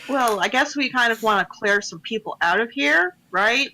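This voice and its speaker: Bright-voiced woman player. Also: on the high side, animated, normal speed, rough recording. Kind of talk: casual tabletop game play.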